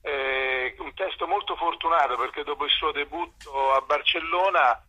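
A man explaining in an interview, often pausing and repeating small words as he searches. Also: on the high side, sharp tone, slightly rough. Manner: hesitant, stutter-like repeats while searching for words. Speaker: translator and theatre director, interview guest. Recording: dull, lo-fi phone-in sound.

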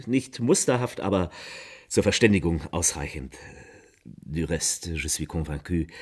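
An actor playing a jovial, flattering middle-aged music agent, effusive and theatrically courteous, in a radio drama. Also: male voice, low voice, breathy edge, heavily acted. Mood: effusive, delighted. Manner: effusive, theatrically courteous, slipping between French and German.

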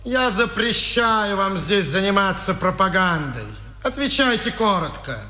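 An actor playing a cold, domineering Nazi official and judge in a curt, commanding manner, in a 1950s Soviet radio drama. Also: male voice, high voice, lively intonation, unhurried, sharp tone, heavily acted. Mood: stern, accusing. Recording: roomy, echoing 1950s radio recording.

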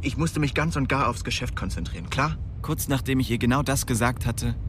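An actor playing a bitter, weary young small-town drug dealer who narrates and talks in coarse slang in a radio drama. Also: male voice, medium pitch, brisk, background noise, close to an everyday voice. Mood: brooding, wry. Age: young adult. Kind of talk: radio drama, first-person narration and dialogue. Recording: music behind the voice.

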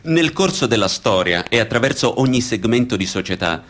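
A man's voice: mid-range voice, animated, a little fast, fairly bright tone. A man reading scripted documentary theatre narration in a formal, serious, articulate way. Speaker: reader in a radio theatre piece.

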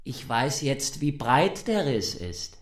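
A man's voice: mid-range voice, big pitch swings, takes it slowly. An actor playing an insistent, sly neighbour in a German radio drama, pedantic and needling as he demands what he is owed.

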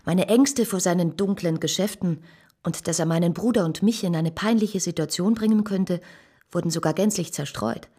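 An actress playing a composed, compassionate bourgeois lady who tells her story in a measured, earnest radio drama style. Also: lowish voice, mellow, breathy edge. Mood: reflective. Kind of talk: radio drama narration and dialogue.

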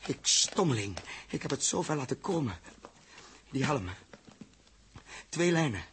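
An actor playing a stern, commanding spaceship captain in a theatrical, clipped 1960s radio drama style, with a husky voice. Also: male voice, mid-range voice, very animated, a little slow, noisy background. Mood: tense. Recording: old 1960s radio recording.

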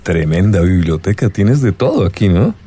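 Deep, dark-voiced young man. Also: expressive, moderate pace, breathy edge. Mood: bemused. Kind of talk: radio drama acting.

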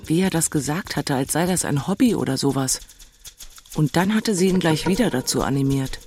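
A woman's voice: deep voice, animated, a little fast, a little mellow, slightly rough, soft background music. An actress playing an agitated, self-reproaching woman in her forties in a radio drama inner monologue. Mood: brooding, exasperated. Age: 40s. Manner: brooding, exasperated.

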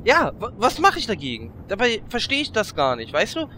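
A man's voice: high voice, wildly swooping pitch, brisk, pushed and bright, a little hiss or hum, full character voice. A man playing a whiny, cheeky gnome adventurer in a fantasy parody radio play, rambling and complaining. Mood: whiny, distressed.

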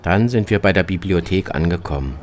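A man's voice: deep voice, fairly quick, a little hiss or hum. A man narrating a scripted, literary travel piece for radio as its reporter.

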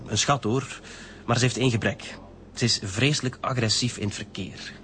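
Smooth Flemish company boss, noisy backdrop. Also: male voice, on the low side, normal speed, pushed and bright. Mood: chatty, explaining. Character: smooth advertising boss pleading innocence to detectives. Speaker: radio drama actor. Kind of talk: naturalistic radio drama acting.

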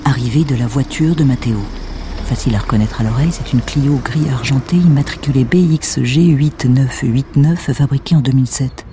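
A man playing a deadpan narrator, matter-of-factly announcing episodes and describing scenes for an audio drama. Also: medium pitch, normal speed, noisy background, close to an everyday voice. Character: deadpan fiction series narrator. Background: music with guitar.

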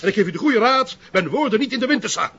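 An actor playing a pompous, officious Flemish police commissioner with a formal, blustering manner in an audio drama. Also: male voice, very high-pitched, animated, fast, a bit bright, exaggerated voice. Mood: stern, indignant. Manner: formal, blustering, tagging sentences with "hè". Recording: muffled audio with faint noise.

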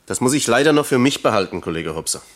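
A male actor as an East German works official in a radio play. His voice sits in a middle register. He speaks in measured, bureaucratic phrases, evasive and condescending toward younger colleagues. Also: medium pitch, big pitch swings, close to an everyday voice. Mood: curt, rebuffing.

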